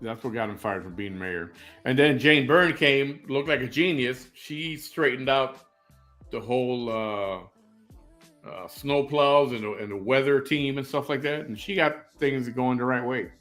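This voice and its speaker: A man's voice: medium pitch, a little slow, fairly bright tone, faint music behind. Rambling opinionated man in his fifties. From Chicago. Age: 50s. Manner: rambling, opinionated, repeats himself, joking. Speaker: live-stream show host. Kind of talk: casual live-stream chat reading viewer comments.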